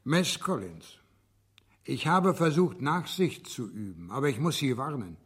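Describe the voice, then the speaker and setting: An actor playing a stern, measured judge in a radio drama, formal and admonishing. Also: male voice, mid-range voice, sing-song, fairly bright tone, husky, full character voice. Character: stern, measured male judge presiding in court. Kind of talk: German radio drama acting.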